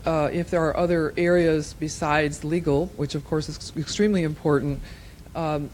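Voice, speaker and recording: A woman's voice: lowish voice, a little mellow. Woman speaking emphatically, with background noise. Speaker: audience member asking a question. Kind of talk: question and comment at a conference.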